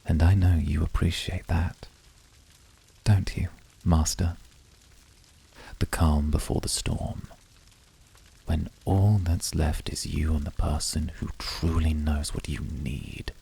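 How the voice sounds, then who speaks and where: A man playing a calm, brooding incubus in a flirty ASMR roleplay, measured, intimate and quietly seductive. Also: extremely low pitch, creaky, breathy edge, heavily acted.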